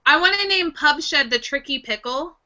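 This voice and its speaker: A woman's voice: high-pitched, lively intonation, normal speed. A woman in casual group chat on an online show, teasing and irreverent with crude banter, over slightly dull, noisy audio.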